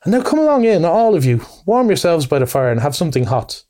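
A man's voice: a little high, lively intonation, moderate pace, dark tone, voice pushed up, natural voice. Man acting a hearty, masterful storybook rat. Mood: hearty welcome. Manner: hearty, commanding. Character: hearty, masterful male water rat, bossy and hospitable.

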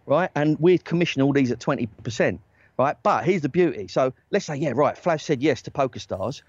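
A man, a talent agent and guest on a podcast, telling stories in a loose, animated way with lots of "right?" tag questions. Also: mid-range voice, fairly quick, breathy edge. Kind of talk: casual storytelling chat. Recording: dry, dull lo-fi sound.

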